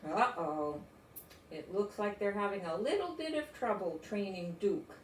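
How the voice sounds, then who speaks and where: An older woman from the United States reads a children's story expressively. Her voice is of medium pitch. It is picked up from a little way off in a roomy space, with faint noise. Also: animated, unhurried, recorded from a distance. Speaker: storybook reader.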